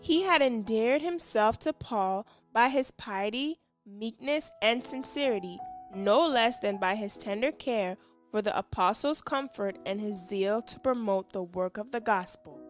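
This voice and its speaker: A woman's voice: fairly high pitch, animated, a little slow, faint music behind. A woman reading scripture and a scripted religious devotional aloud. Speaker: devotional reader.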